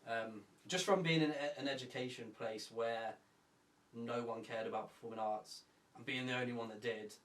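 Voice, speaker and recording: A man's voice: medium pitch, steady tone, normal speed, fairly bright tone, distant mic. A young man co-hosting a casual podcast, chatty and self-mocking, rambling with lots of fillers. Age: young adult. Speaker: podcast co-host. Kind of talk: casual chat. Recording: roomy, from off-mic.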